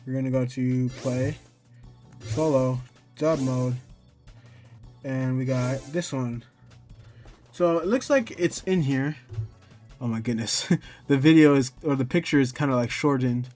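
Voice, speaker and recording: A man giving a casual, informal software tutorial, talking himself through each step as he goes. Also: medium pitch, measured pace, long pauses, soft background music. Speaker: tutorial host.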